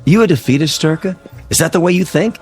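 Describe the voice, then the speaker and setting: A man's voice: fairly high pitch, quick pace, darkish tone, soft background music, natural voice. An actor in a radio drama playing a smug, hawkish man who gloats and needles with menace. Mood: menacing.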